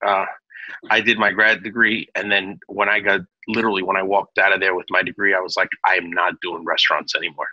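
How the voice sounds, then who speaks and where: A restaurant owner in his forties from Naples, telling his story in a chatty, rambling interview full of restarts. His slightly low voice is bright and forward. The dry recording sounds a little muffled. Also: flat delivery, fairly quick, no room sound. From Naples, Italy. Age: 40s. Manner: chatty, many restarts and fillers.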